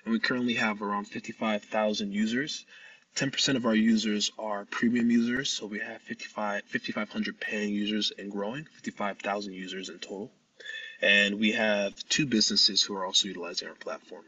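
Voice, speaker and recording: A man from the United States, a startup founder answering interview questions in a measured, steady way with occasional pauses. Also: lowish voice, breathy edge, dry recording, far from the mic, highs cut off.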